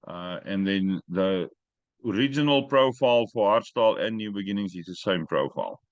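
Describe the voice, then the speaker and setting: A man's voice: lowish voice, no room sound. Man hosting a muffled online call. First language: not English. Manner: direct, plain-spoken, halting. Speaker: host. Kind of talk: casual group call chat. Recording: dry muffled call audio.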